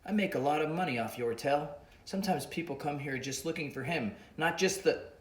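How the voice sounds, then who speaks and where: A man's voice: medium pitch, animated, a little fast, reverberant, pitched above the performer's own voice, lightly acted. A man reading an audiobook, voicing a suspicious male jungle businessman with a thick accent and an urgent manner.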